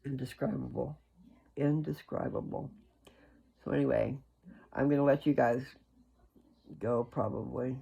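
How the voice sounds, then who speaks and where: Gravelly, husky voice in folksy devotional talk. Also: medium pitch, fairly even intonation, unhurried, pauses often, dark tone, dull audio. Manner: folksy, earnest, digressive. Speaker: religious video host. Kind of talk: rambling informal devotional talk.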